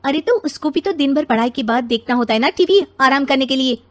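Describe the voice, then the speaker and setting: A woman voicing an exasperated, sharp-tongued young mother and wife in a story, scolding emphatically. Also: sits high, lively intonation, brisk, voice pushed up. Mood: reproachful.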